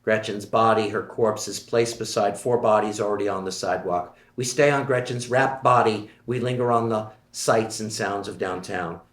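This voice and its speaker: A man reading stage directions aloud in a measured, steady way at a screenplay table read. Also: lowish voice. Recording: echoey room.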